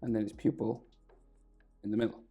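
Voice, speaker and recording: A man talks through a step-by-step drawing lesson in a casual, thinking-aloud way, his voice gravelly and crackly from a sore throat. He sits a little low in pitch and often drops to a soft near whisper. Faint music plays underneath. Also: steady tone, fairly quick, highs cut off. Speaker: drawing tutor. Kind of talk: casual drawing tutorial voice-over.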